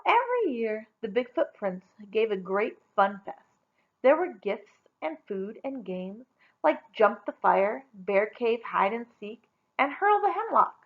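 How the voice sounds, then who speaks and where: A woman reading a children's story aloud in a dramatic, deliberate storytelling manner. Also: a little high, gravelly, lo-fi sound. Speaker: storybook reader. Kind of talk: expressive children's read-aloud narration.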